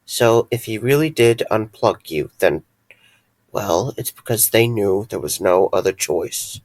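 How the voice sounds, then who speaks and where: A man's voice: on the low side, expressive, measured pace, a little mellow, dry recording. A man playing a caring, dryly wry friend in an audio drama, earnest and a little hesitant. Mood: reassuring.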